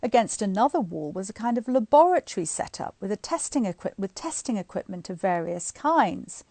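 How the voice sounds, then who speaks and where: A middle-aged woman reading a book aloud to online listeners in an expressive, informal, chatty way. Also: mid-range voice, brisk, dry recording. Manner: informal, chatty asides between expressive read passages. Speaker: guest reader of a bedtime story series. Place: indoors at home, on a live online stream.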